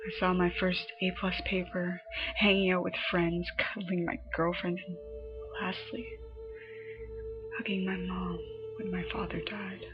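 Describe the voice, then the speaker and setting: A woman narrating a scripted horror story in a dramatic manner, her phrases often dropping into a breathy hush. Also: fairly low pitch, little pitch movement, a little slow, long pauses. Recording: muffled lo-fi home recording, music behind. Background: background music.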